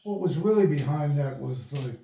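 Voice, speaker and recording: A man's voice: on the high side, animated, moderate pace, vocal fry, mellow. An older man telling stories casually in an interview, rambling and salty-tongued. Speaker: interviewee, radio play producer. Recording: roomy, distant mic, noisy recording.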